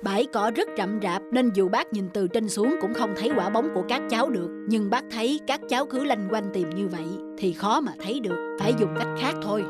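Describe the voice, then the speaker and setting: Woman as a calm, wise older owl. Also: medium pitch, lightly acted. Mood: calm, instructive. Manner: calm, measured, instructive. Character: wise, kindly motherly owl, older, patient. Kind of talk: cartoon dubbing. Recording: loud music under the voice.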